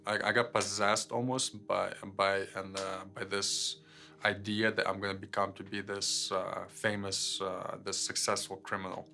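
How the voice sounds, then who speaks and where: A young man from Ukraine telling his life testimony unscripted, halting with many fillers and repeated words. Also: lowish voice, vocal fry, pushed and bright. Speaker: guest sharing his testimony. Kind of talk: personal testimony, unscripted storytelling.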